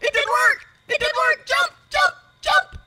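A gamer voicing a panicky, whiny young girl through a pitched-up voice changer, pleading shrilly and repetitively, with music behind. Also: measured pace. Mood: panicked. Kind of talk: put-on character voice during online gaming.

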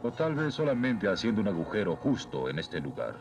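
Un actor con acento latinoamericano que interpreta a un joven héroe fuerte, seguro y directo, en una caricatura doblada. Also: male voice, lowish voice, big pitch swings, a little fast. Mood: assured, decisive. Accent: Latin American Spanish. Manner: assured, straightforward. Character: confident, brave young muscular hero. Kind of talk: dubbed 1980s cartoon acting. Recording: loud music behind dubbed cartoon dialogue.

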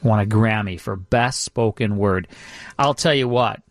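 A man's voice: fairly low pitch, a little slow, no echo. Male radio host, close and dry. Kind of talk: casual radio talk about classic radio shows.

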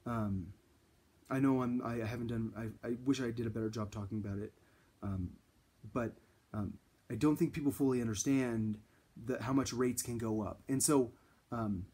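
A husky-voiced man in his thirties talks casually to a close camera in a small indoor room. He rambles, restarting sentences and filling pauses with ums. His pitch sits in an ordinary middle range. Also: steady tone, dark tone. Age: 30s. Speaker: vlogger. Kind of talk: casual direct-to-camera talk. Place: small indoor room against a plain wall.

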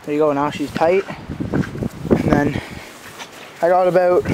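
A man giving a casual, unscripted walkthrough as an amateur reviewer, talking in a halting, informal way outdoors on a handheld phone. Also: on the high side, fairly even intonation. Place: outdoors in a backyard. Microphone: handheld phone camera. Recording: outdoor phone recording with clear background noise. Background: passing cars.

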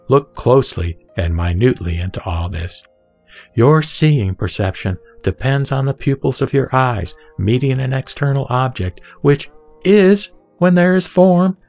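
A man reading a Buddhist scripture as formal, deliberate, solemn narration. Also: fairly low pitch, a little mellow.

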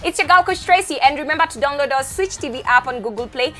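Bubbly fast-talking Kenyan woman presenter. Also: sits high, lively intonation, bright, forceful tone, soft background music. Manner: bubbly, mixes slang into rapid talk. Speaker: show presenter. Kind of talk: casual studio chat. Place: bright TV studio set.

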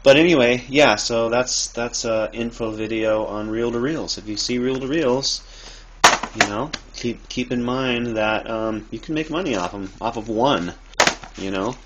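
A man giving a casual, rambling how-to talk in a halting, improvised way, recorded on a handheld phone indoors. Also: mid-range voice. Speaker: video maker, thrift reseller. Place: cluttered dim room indoors. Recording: noisy amateur phone recording.

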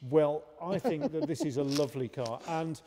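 A man's voice: on the high side, normal speed, warm tone. A man interviewing a car owner in a showroom, enthusiastic and full of praise, often restarting his sentences. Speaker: interviewer, presenter. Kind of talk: casual interview. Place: large car showroom, indoors. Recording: echoey showroom hall.

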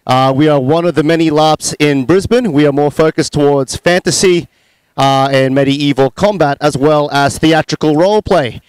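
Young man, fairly high, crisp. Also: moderate pace, no echo, low-quality recording. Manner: hesitant, many "uh" fillers. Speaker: larp club leader, host. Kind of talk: casual group interview. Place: outdoors at a convention, sunny courtyard. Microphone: handheld mic.

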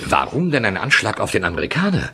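An actor playing a stern, sardonic Scotland Yard chief inspector in a 1970s radio crime play, dry and authoritative. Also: male voice, on the low side, huge pitch swings, fast, vocal fry, pushed and bright, husky, heavily acted.

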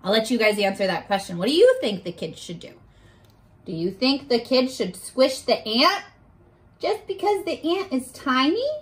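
A young woman teaching a read-aloud lesson to small children, asking questions in an animated way and pausing for answers. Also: fairly high pitch. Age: young adult. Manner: animated, exaggerated, asks questions and pauses for answers. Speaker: elementary school teacher. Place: bedroom, indoors. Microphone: camera a short distance in front.

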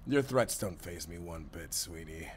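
A man's voice: sits low, expressive, measured pace. Man acting a cocky, taunting kidnapper henchman, some background noise. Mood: mocking. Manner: mocking, condescending, swaggering. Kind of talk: audio roleplay acting.

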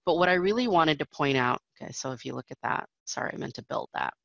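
A woman's voice: deep voice, animated, quick pace, vocal fry, fairly bright tone, dry recording, lo-fi sound. A woman presenting a technical webinar over slides, explaining steadily with frequent fillers.

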